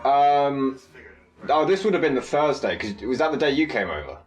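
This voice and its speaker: A man gives a casual commentary on a film he made and acted in. His voice sits at an ordinary pitch, and he talks informally, as if among fellow cast. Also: animated, normal speed, soft background music, low-quality recording. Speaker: filmmaker and actor on a cast commentary.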